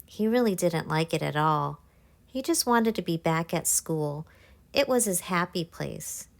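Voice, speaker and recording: A woman narrating a children's picture book, reading aloud in simple, clear phrases for young listeners. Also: on the low side, no echo. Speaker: author narrating her own children's book. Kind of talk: scripted children's storybook narration.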